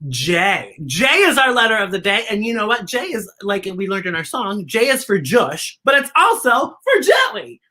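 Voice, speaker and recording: A woman's voice: fairly high pitch, sing-song, normal speed, hardly any pauses, pushed and bright. A woman hosting a children's story time, reading a picture book aloud in a playful, theatrical way with chatty asides to the young listeners.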